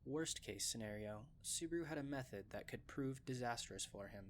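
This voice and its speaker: Narrator for audio drama: man, medium-pitched, scripted storytelling, slight ambient noise behind him. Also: expressive, normal speed.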